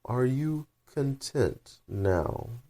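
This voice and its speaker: A man voicing a curt, contrary storybook caterpillar who speaks in drawn-out, decided phrases. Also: mid-range voice, animated, warm tone, voice pushed up. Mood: curt, languid. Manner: drawn-out, terse, decided delivery. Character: slow, curt, contrary caterpillar smoking a hookah. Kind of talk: children's storybook character voice.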